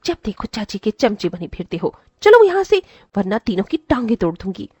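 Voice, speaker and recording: A woman's voice: medium pitch, big pitch swings, normal speed, warm tone, breathy edge, no room sound, studio quality. A woman voicing a cruel, bullying stepmother in a dramatised moral story, scolding and sneering with threats. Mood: scolding, threatening. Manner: scolding, sneering, name-calling.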